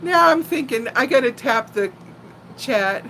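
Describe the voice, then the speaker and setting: A woman in her sixties with a fairly high voice talks at a very leisurely pace. She is a playful, chatty storyteller addressing her viewers informally, with some faint noise behind her. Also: a little slow, low-quality recording. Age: 60s. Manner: animated, playful storyteller who laughs easily and often. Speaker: live stream host chatting with viewers. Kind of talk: casual chat and personal storytelling.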